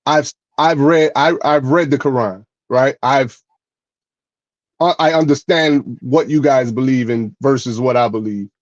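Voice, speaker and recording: A man co-hosting a podcast who explains his views in a measured way, often checking in with "right?". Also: medium pitch, dry recording, dull audio. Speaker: podcast co-host. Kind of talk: casual podcast conversation.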